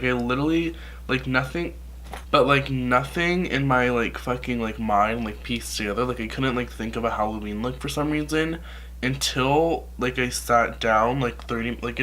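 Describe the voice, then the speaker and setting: A man's voice: mid-range voice, pushed and bright, a little hiss or hum. Nasal young man rambling through makeup. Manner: rambling, profane, filler-heavy. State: sick, nasal. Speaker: makeup vlogger. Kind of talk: casual makeup tutorial.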